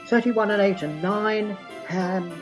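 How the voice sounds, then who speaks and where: A man playing a timid, apologetic clerk in a radio play, with a husky voice and a nervous, deferential way of speaking. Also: sits high, takes it slowly, over music. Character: meek, apologetic clerk, timid male underling.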